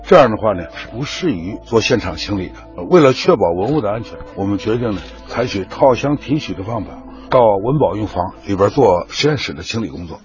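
Man, middle-aged, explanatory interview, slightly deep voice, faint music underneath, dull sound. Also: lively intonation, brisk, hardly any pauses, darkish tone. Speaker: archaeology expert.

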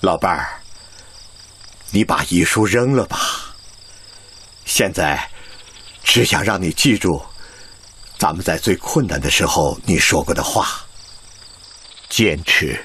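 An actor playing an elderly professor and husband in a radio drama, gentle, weary and steadfast, speaking with heartfelt weight. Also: male voice, deep voice, expressive, takes it slowly, long pauses, a little hiss or hum, heavily acted. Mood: solemn, tender.

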